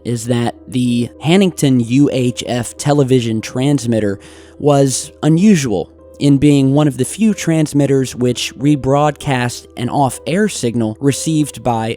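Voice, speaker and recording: Mellow American male narrator over music. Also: medium pitch, a little slow. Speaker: YouTube host narrator. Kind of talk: scripted narration of a mystery.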